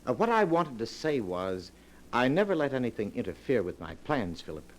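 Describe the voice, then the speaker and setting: An actor playing a smooth, polite, calculating murderer in a theatrical 1940s radio drama style. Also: male voice, medium pitch, big pitch swings, moderate pace, lightly acted. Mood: coldly menacing. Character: suave, cold-blooded scheming male murderer. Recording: noisy 1940s radio broadcast recording.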